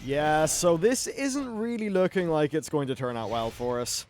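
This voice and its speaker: Higher-pitched man commentating over noisy game ambience. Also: animated, normal speed, a little mellow. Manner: chatty, wry, casually sweary. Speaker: gaming video host. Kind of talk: casual live-play game commentary. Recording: clear background noise and game ambience.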